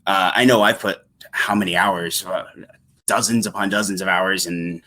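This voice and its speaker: A man's voice: low voice, steady tone, moderate pace, pushed and bright. A young man talking casually on a podcast, sharing his thoughts in a conversational way. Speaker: podcast host and guest.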